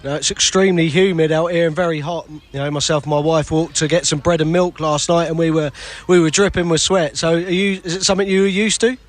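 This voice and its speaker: A man's voice: fairly high pitch, fairly even intonation, quick pace. Chatty male radio reporter, poolside. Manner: chatty, long lead-ins to questions. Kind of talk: casual interview questions. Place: outdoors by a hotel pool.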